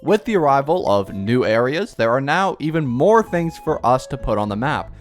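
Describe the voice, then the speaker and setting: A man's voice: mid-range voice, normal speed. A man hosting a gaming channel, chatting casually and poking fun at himself while he reads through a developer blog. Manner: self-deprecating, chatty, mock-excited cheers. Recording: faint background music.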